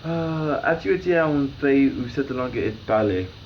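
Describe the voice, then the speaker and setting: A young man in his early twenties from the United States, a native English speaker with an American accent, talking casually and haltingly as a language learner answering questions he reads to himself. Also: mid-range voice, lively intonation. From United States, raised in Florida. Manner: halting, searching for words. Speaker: language learner making an accent tag video. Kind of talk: casual self-interview to camera. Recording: muffled lo-fi home recording with noise.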